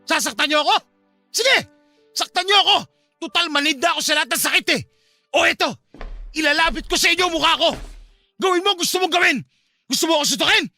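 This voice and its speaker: An actor playing an anguished young adult son in a Filipino radio drama, bitter and pleading, with emotional delivery. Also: male voice, very high-pitched, fast, long pauses, sharp tone, dry recording, full character voice. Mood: angry, anguished. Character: anguished adult son confronting his cold father. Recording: music bed behind the voice.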